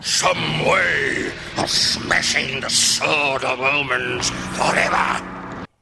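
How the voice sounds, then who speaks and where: A menacing 1980s cartoon villain, croaks with an extremely gravelly creak. Every word is dragged out very slowly and theatrically, in a heavily noisy old clip. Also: male voice, mid-range voice, exaggerated voice. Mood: menacing, scheming. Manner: slow, drawn-out, theatrical delivery. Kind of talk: 1980s television cartoon acting.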